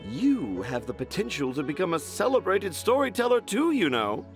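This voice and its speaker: A dreamy, flowery children's author in a fantasy game, his fairly high voice rising and falling in big sweeps as he talks in grand poetic phrases. Game music plays under him. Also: huge pitch swings, normal speed, heavily acted. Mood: inspired. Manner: theatrical, florid, poetic speech. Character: flowery, dreamy middle-aged male children's author and father. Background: game background music.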